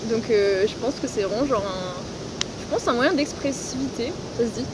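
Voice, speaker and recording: A woman's voice: fairly high pitch, moderate pace, very noisy. A woman of nineteen, from Italy, a design student chatting as a podcast guest outdoors, laughing often and filling her talk with "genre". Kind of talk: casual chat. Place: outdoors in a city on a hot day.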